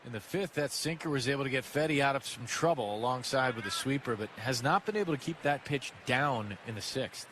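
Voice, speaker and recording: A man's voice: mid-range voice, animated, a little fast, few pauses. A man giving conversational baseball analysis on the radio, explaining plays with emphatic phrasing. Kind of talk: live analysis and conversational commentary. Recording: noisy ballpark ambience behind voice. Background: outdoor ballpark noise.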